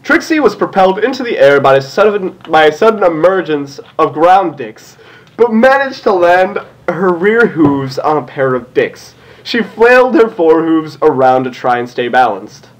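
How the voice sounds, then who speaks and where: Lively, higher-pitched man reading aloud. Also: moderate pace, poor audio. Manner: giggly, casual. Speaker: fan fiction reader. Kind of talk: amused comedic read-aloud with asides.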